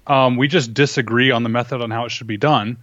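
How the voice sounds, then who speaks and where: A man speaking as an interview guest, laying out arguments in a measured, conversational way and checking his points with rhetorical questions. The recording is close and dry, but slightly dull and lo-fi. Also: medium pitch, steady tone, a bit bright, dry recording. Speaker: interview guest, firearms instructor and writer. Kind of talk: conversational interview, explaining opinions.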